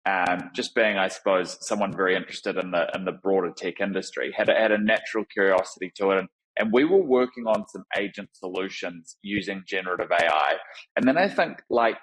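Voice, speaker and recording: A man from New Zealand with a clear New Zealand accent speaks in a fairly low voice. He's a middle-aged founder answering podcast questions, unhurried and reflective, often circling back and restarting as he thinks aloud. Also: fairly bright tone, poor audio. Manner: thoughtful, many fillers and restarts. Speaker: founder and CEO, guest. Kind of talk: conversational interview.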